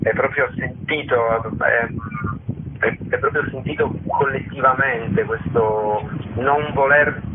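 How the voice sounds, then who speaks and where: A man giving a spontaneous interview as a theatre guest, speaking haltingly and emphatically, repeating words for stress. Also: mid-range voice, fairly even intonation. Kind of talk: spontaneous radio interview by phone. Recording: tinny phone line with clear noise.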